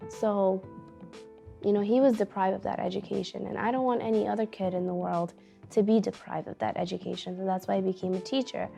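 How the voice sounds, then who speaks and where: A young woman who is a teacher, telling her family's story in an earnest, halting way with many fillers. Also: mid-range voice, fairly even intonation, creaky, warm tone. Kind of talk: personal storytelling interview. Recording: faint background music.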